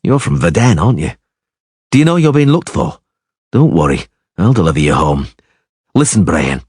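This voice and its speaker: A man voicing a gruff, sardonic monster-hunter who talks in a dry, mocking, stern way. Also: sits low, sing-song, normal speed, darkish tone, no echo, full character voice.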